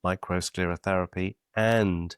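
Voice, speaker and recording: A man giving a measured, methodical educational lecture on vein treatment devices. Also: sits low, fairly even intonation, smooth, no room sound, clean studio sound.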